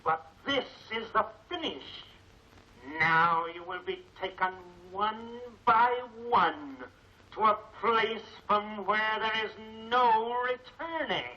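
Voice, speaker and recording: An actor playing a menacing masked crime lord in a 1940s film serial, gloating in a theatrical, imperious and formal manner. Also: male voice, high-pitched, slow, a little hiss or hum. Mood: ominous. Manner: theatrical, ominous, grandly formal. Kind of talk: 1940s movie serial acting. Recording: muffled old 1940 film soundtrack, music.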